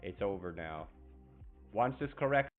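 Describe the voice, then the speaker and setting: A young man with a lowish voice hosts a loose livestream chat. He rambles in a brash, slangy way, with faint music playing behind him. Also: animated, a little slow, phone-quality sound. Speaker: streamer host.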